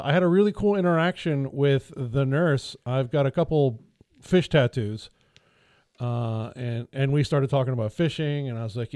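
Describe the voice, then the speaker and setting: A man on a podcast spins a rambling, self-deprecating story in a mellow, darker tone. His voice sits at a middle pitch. The studio sound is dry and close. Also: dry recording. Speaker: podcast co-host. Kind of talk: casual storytelling chat. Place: small podcast studio around a table.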